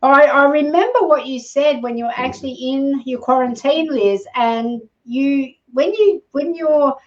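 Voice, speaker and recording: A woman's voice: high voice, measured pace, far from the mic, dull audio. A woman hosting a casual interview, speaking in an effusive, encouraging way. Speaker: live show host.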